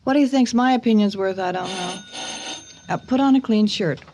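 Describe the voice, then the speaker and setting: A woman's voice: medium pitch, a little fast, dark tone, slight background noise, lightly acted. A woman playing a cool, sardonic wife and mother in her forties in a radio drama. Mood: irritated.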